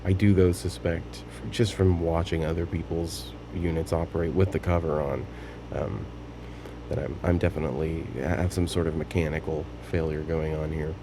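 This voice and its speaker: A man giving an unscripted, casual hands-on demonstration, explaining things in a tentative, halting way. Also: very deep voice, level tone, noisy background. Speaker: hobbyist demonstrating a tape machine repair.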